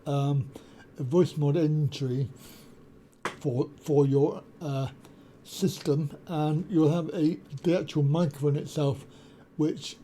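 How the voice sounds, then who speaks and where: A man presenting a software tutorial in an unhurried, explanatory way, filling pauses with ums and uhs. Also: on the high side. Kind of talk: screen-recorded software walkthrough. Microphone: desk USB microphone.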